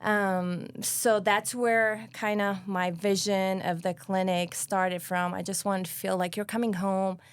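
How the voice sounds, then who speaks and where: A woman's voice: mid-range voice, little pitch movement, clear, resonant tone. A woman from Lebanon, a family doctor and interview guest, talking earnestly with frequent ums. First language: Arabic. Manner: earnest, talks fast with many ums. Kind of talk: casual interview talk.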